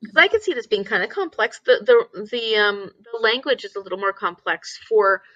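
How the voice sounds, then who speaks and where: A woman's voice: mid-range voice, lively intonation, moderate pace, a bit bright, dry recording, muffled recording. A woman hosting a casual live book discussion, thinking aloud and often posing questions. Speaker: teacher.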